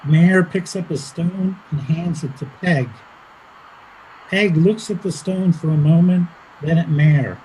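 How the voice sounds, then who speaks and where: A woman's voice: lowish voice, slow, dark tone, poor audio. A woman reading stage directions aloud as scripted narration in a play reading, with faint noise behind her.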